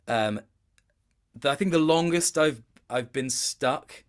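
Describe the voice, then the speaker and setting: A man's voice: mid-range voice, slow pace. A man talking casually as an interview guest, thoughtful, hesitant and a little self-deprecating. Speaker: interview guest, video game voice actor. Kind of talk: casual chat.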